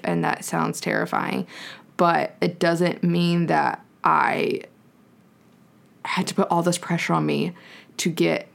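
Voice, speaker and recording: Woman, 30, podcast co-host, low gravelly voice, casual reflective rambling. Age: 30s. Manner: reflective, rambling with many likes. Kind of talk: casual chat.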